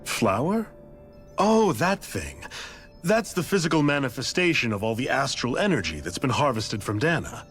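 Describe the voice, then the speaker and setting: A man's voice: medium pitch, sing-song, moderate pace, fairly bright tone, faint music behind. A man voicing a blithe, eccentric scientist in a video game, lecturing with theatrical, casual detachment. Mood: blithe, detached. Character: eccentric, blithe male scientist, coolly explaining a grand scheme. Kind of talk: scripted video game voice acting.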